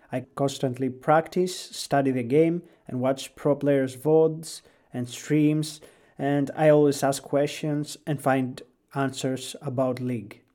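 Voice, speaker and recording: A young man with a Greek accent speaks in a mellow, warm tone. He talks earnestly and sounds slightly nervous as he hosts his own podcast alone. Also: mid-range voice. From Greece. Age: 20s. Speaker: podcast host. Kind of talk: solo podcast monologue.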